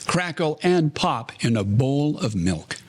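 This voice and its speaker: Man as a showy 1940s radio announcer, slow, echoey. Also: medium pitch, sing-song. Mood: showy, formal. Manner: formal, theatrical. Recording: roomy echo, clear background noise.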